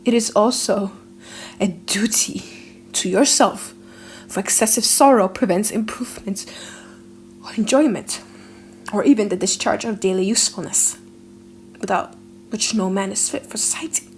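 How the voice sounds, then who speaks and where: A grieving father from a gothic storybook , read by a woman, counsels with solemn, measured earnestness. The delivery is gentle and reasoning, with some noise behind the voice. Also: medium pitch, lightly acted, poor audio. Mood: solemn, pleading. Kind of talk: dramatic audiobook reading.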